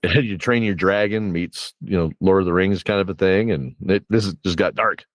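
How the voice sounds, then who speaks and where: A man's voice: deep voice, normal speed, dry recording. A man hosting an interview show, talking in a casual, conversational style. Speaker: podcast host and interviewer. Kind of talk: casual interview chat with a scripted intro.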